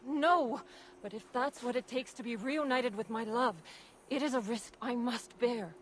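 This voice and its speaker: Actress as a high-voiced, lovestruck giantess. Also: female voice, measured pace, a little hiss or hum, natural voice. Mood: earnest, yearning. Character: lovestruck female Jotun giant, earnest and determined. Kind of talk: dramatic video game cutscene acting.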